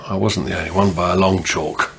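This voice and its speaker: An actor playing a kindly middle-aged English police constable, calm and reasoning, with a husky voice, in a radio drama. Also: male voice, low voice, brisk, a little hiss or hum. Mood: gentle, steady.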